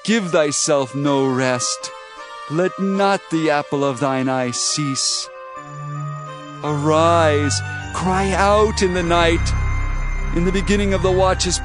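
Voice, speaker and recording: A man's voice: a little high, slow. A man giving an earnest, exhorting dramatized reading of scripture. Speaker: Bible reader. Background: instrumental background music.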